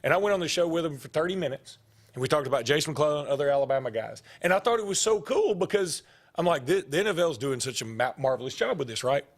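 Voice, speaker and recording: A middle-aged man, hosting a sports talk show and rambling through stories in casual chat. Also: fast talker. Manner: rambling storytelling, jumps in pitch when animated.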